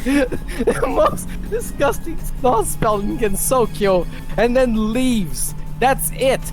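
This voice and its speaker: A person reads a rant out loud for friends on a casual stream. The voice is middling in pitch and sounds exasperated, with the odd stumble. Music plays clearly underneath. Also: rough recording. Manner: exasperated, swearing, breaking off into laughs and stumbles. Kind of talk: reading a comic rant aloud among friends on a stream.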